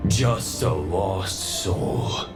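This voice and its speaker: An actor playing a solemn fallen knight in a video game, speaking in formal, grandiose pronouncements. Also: male voice, low-pitched, expressive, extremely slow, exaggerated voice. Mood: grave, condemning. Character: fallen knight, solemn imperfect image cast by the Abyss. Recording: echoing voice under music.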